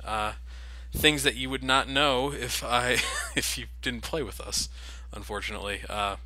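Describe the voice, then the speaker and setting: A man's voice: mid-range voice, fairly even intonation, unhurried, pushed and bright, no echo, low-quality recording. A young man casually commenting over game cutscenes, joking and critical of the game as the host. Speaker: let's play host.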